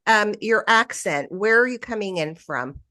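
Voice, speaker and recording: A woman's voice: mid-range voice, expressive, moderate pace, bright, forceful tone, no room sound, studio quality. A woman hosting a podcast interview, asking questions in a chatty way with fillers. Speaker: podcast host.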